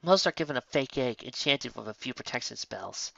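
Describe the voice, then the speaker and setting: A man's voice: mid-range voice, animated, fairly quick, vocal fry, bright, forceful tone, dry recording, dull audio, exaggerated voice. A man voicing a scholarly young unicorn mare in a story, explaining and reading aloud in a careful, earnest way.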